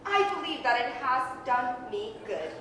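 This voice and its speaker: A woman playing a miser's cheerful, warm-hearted young nephew in a radio-style drama, earnest and exclamatory. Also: high voice, expressive, a little slow, heavily acted. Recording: very echoey hall, distant, faint noise.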